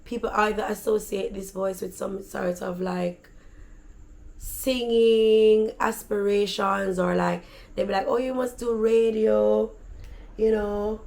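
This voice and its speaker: A woman with a Jamaican accent and a deep, raspy voice, telling stories in a chatty, animated vlog. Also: slow. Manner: animated, chatty, slips into patois. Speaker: vlogger. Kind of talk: casual storytelling.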